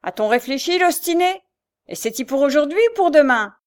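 A woman's voice: sits high, sing-song, moderate pace, voice pushed up. A woman voicing a vicious old beggar woman who bullies her son, shrieking and coarse. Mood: furious. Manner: screeching, coarse, snarling.

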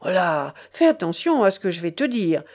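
A woman's voice: fairly low pitch, very animated, normal speed, darkish tone, husky. A woman voicing a menacing, brutal male smuggler. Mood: stern, menacing. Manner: harsh, imperious, growling orders. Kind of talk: dramatic audiobook character voice.

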